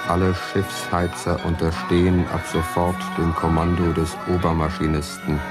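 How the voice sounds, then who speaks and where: Actor as male ship's announcer, deep flat voice, terse evacuation orders, radio play, loud music behind. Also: normal speed, close to an everyday voice. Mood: flat, commanding.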